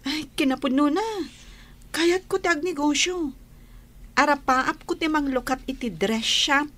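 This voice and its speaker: High-voiced, cheerful young Ilocano woman. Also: unhurried, fairly bright tone. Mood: friendly, eager. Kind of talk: Ilocano radio drama acting.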